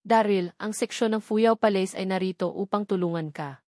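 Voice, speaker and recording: Gentle fairy sect leader read by a woman, audiobook fantasy dialogue, dry sound. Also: medium pitch, normal speed, no echo, natural voice. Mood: gentle reassurance.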